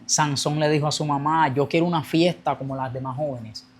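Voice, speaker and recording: A man's voice: on the high side, steady tone, brisk. Young man telling his testimony. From Colombia. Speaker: guest giving a testimony. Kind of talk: personal testimony.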